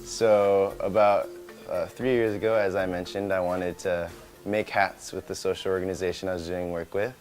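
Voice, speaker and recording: A man's voice: deep voice, level tone, normal speed, a little mellow, faint music behind. A young American man from California, founder of a small company, talking casually in an interview with many restarts and Indonesian words mixed in. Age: young adult.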